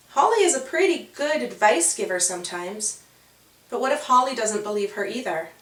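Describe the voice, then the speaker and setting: A woman narrating a children's story expressively, with dramatic, drawn-out emphasis on key words. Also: medium pitch, a bit bright, reverberant, distant mic, poor audio.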